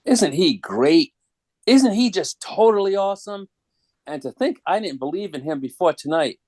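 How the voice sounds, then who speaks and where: A man voicing an excitable, easily frightened young boy in a dramatic comic book reading. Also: sits high, normal speed, pitched above the performer's own voice. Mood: excited. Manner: pleading, exclaiming.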